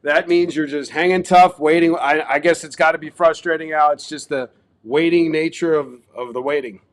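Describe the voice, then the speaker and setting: Host, male, Baltimore accent, slightly raised pitch, talky promo patter. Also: steady tone, normal speed. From Baltimore, Maryland. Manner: chatty, promotional, rambling. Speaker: show host. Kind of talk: casual sports betting talk.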